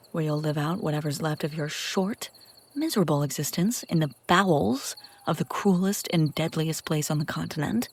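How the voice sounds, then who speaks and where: A woman's voice: lowish voice, slight background noise. A woman playing a brusque, sardonic but secretly caring female bounty hunter in a scripted fantasy audio roleplay, speaking straight to the listener. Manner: sarcastic, teasing, often dropping to hushed urgency.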